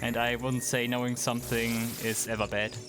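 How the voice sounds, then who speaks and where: A man's voice: medium pitch, normal speed. A man in his thirties from Germany, casually talking through a livestream of a game and answering chat. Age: 30s. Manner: relaxed, answers chat questions, chuckles. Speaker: streamer. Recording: game music behind. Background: game music.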